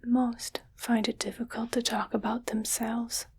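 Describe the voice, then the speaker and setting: A rich alien lady acted by a woman speaks in an airy, hushed whisper for an ASMR roleplay. Her delivery is slow and deliberate, with a lightly raised pitch. She sounds detached and curious, talking down to her human pet with cool condescension. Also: little pitch movement, heavily acted. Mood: musing, patronizing. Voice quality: breathy whisper.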